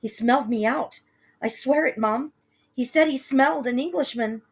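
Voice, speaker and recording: A woman voicing an excitable, boastful young boy in a high, eager voice, a little husky. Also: normal speed. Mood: breathless alarm.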